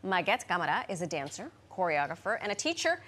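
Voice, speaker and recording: A woman's voice: on the low side, sing-song, fast talker, a bit bright. A woman hosting a TV lifestyle segment, upbeat and asking leading questions in a studio. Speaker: TV host. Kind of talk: morning TV interview.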